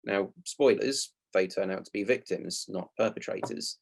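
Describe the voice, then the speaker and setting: Casting: male presenter, lowish gravelly voice, explaining a play to listeners in a prepared talk. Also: normal speed.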